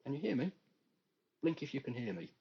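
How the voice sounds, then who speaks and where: A man voicing a brisk hospital doctor who asks calm, matter-of-fact questions. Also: mid-range voice, gravelly, breathy edge, highs cut off, pitched above the performer's own voice, heavily acted. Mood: clinical.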